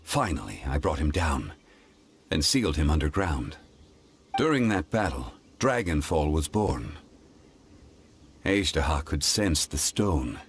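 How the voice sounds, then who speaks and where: A deep, solemn ancient god. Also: male voice, slightly rough, full character voice. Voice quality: deep, calm, resonant. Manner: measured, solemn, dignified. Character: ancient, composed male god in human form. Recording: game audio with soft music.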